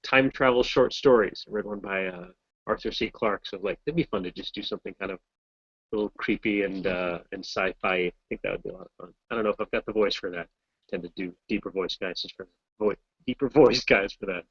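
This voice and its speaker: Low-voiced man chatting over a lo-fi call. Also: a little fast, creaky, a bit bright, breathy edge, no room sound. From Massachusetts, US. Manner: chatty, rambling, self-deprecating. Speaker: voice actor answering fan questions. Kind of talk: casual Q&A chat. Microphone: video call.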